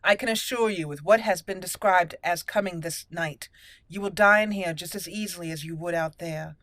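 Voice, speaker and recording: A woman voicing a polite, earnest young noble elf mage in a game roleplay, speaking in a measured, formal way. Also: lowish voice, fairly bright tone, no room sound, lightly acted. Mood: earnest, persuasive. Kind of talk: roleplay reading of game dialogue.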